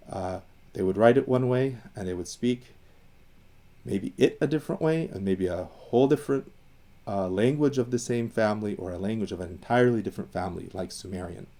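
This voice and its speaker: Low, mellow man explaining language history. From Iran. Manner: discursive, thinks aloud with fillers and restarts. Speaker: educator on Assyrian language and identity. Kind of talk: casual explanatory talk.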